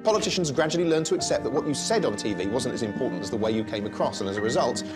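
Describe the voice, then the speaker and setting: A man's voice: mid-range voice, expressive, racing, over loud music. A man hosting a satirical programme, delivering scripted narration in a sardonic, mock-earnest manner. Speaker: host.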